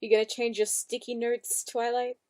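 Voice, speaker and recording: A woman giving casual reaction commentary in low-key, murmured asides. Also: flat delivery, moderate pace, a little mellow. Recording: music behind the voice.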